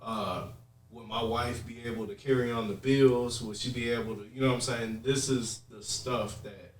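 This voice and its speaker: A man's voice: mid-range voice, fairly even intonation, normal speed, far from the mic, a little hiss or hum. A man hosting a conversation, speaking colloquially and reflectively, often checking that he is understood. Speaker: podcast host. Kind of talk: casual conversation. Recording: roomy echo, off mic.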